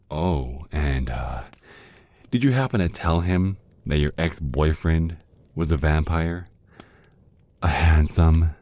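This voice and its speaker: ASMR roleplay casting: jealous male vampire ex, acted by a man, extremely low dark voice, slow seductive taunts. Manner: slow, teasing, drawn-out menacing murmur.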